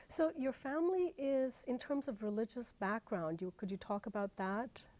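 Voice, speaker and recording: A woman's voice: fairly high pitch, normal speed, a little mellow. A woman interviewing a guest. She asks long, hesitant questions full of "um". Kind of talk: interview questions and a read introduction.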